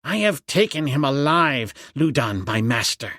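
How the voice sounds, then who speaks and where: A man's voice: mid-range voice, very animated, measured pace, a bit bright, no echo, studio quality. A man voicing an eager, deferential priest who serves a villain in an adventure audiobook. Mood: proud. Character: eager, obsequious male priest, loyal henchman.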